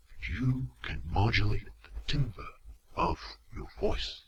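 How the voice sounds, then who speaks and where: Villainous robot warlord for audio drama, male actor: eloquent, menacing, mock-courteous, very unhurried with theatrical pauses, crisp forceful edge, slight home-recording noise. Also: fairly low pitch, full character voice, low-quality recording. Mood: smug, sinister. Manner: theatrical, deliberate, with dramatic pauses and drops to whispers.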